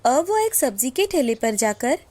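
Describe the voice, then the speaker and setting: A woman's voice: high-pitched, very animated, normal speed, darkish tone. A woman narrating a moral story in a scripted storytelling style. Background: music.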